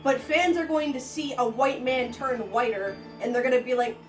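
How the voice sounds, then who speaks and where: A bluntly spoken woman on a casual podcast, her voice a touch high and quick with profanity. She sits back from the mic in an echoey room with faint music underneath. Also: recorded from a distance. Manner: fast, blunt, profane, does playful impressions. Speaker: podcast co-host.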